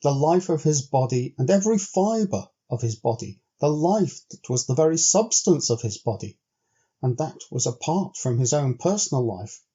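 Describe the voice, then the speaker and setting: A man reading literary narration as a storyteller, in a measured, deliberately phrased, actorly way. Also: fairly high pitch, mellow, slightly rough, highs cut off. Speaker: audiobook storyteller.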